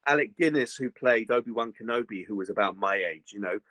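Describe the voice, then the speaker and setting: An older man, a voice actor chatting in an interview, slightly gruff, rambling with many fillers and restarts. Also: lowish voice, brisk, fairly bright tone, dry recording. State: slightly gruff after a recent virus. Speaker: voice actor guest. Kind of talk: casual interview chat.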